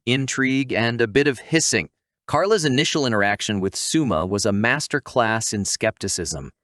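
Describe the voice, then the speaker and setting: A man's voice: mid-range voice, a bit bright, no echo, clean studio sound. A man reading a scripted recap narration in a wry, tongue-in-cheek storytelling manner.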